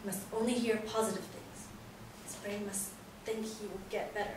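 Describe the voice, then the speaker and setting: A woman's voice: medium pitch, flat delivery, moderate pace, darkish tone, close to an everyday voice. A woman in a staged play reading, playing an anxious, hopeful mother who coaxes and reassures her injured son. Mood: soothing, hopeful. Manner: coaxing, reassuring. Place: live stage reading in a theatre room. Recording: very echoey room, distant mic, hissy noise.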